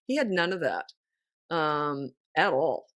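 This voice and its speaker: A middle-aged woman with a fairly low voice gives frank, no-nonsense parenting advice in a casual podcast chat. Her delivery is blunt and direct. The recording is dry and close, with no room sound. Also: lively intonation, takes it slowly, a bit bright, no room sound. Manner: blunt, no-nonsense, laughs often. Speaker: parenting coach and podcast host.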